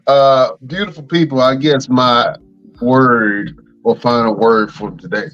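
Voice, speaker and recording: A man's voice: medium pitch, steady tone, a little slow, a bit bright, highs cut off. A man co-hosting a wrestling podcast in a laid-back, slangy way, plugging the show and chatting with his co-host. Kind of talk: casual podcast chat.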